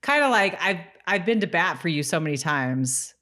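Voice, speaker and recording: A woman's voice: on the low side, big pitch swings, moderate pace, fairly bright tone. A woman co-hosting a podcast, chatting in a casual, conversational and informal way. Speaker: podcast co-host. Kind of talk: casual TV rewatch podcast chat.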